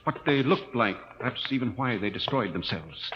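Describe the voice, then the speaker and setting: An actor in a 1950s radio drama playing a thoughtful, scholarly scientist who explains and ponders. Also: male voice, mid-range voice, expressive, normal speed, natural voice. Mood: awed, pondering. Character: thoughtful scholarly scientist exploring alien ruins. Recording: muffled, noisy vintage radio recording.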